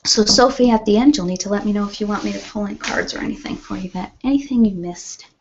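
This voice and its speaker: A woman's voice: mid-range voice, fast talker, background noise. A woman chatting casually in a rambling, informal way as an ASMR host. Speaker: ASMR host and massage therapist. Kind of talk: casual live chat. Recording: dull, lo-fi sound.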